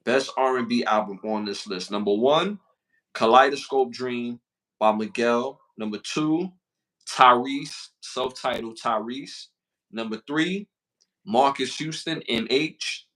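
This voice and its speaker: Brooklyn man, podcast co-host, bright edgy tone, slangy and repetitive. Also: medium pitch, slow, poor audio. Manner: slangy, repeats himself, reads out list questions. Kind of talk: casual podcast chat.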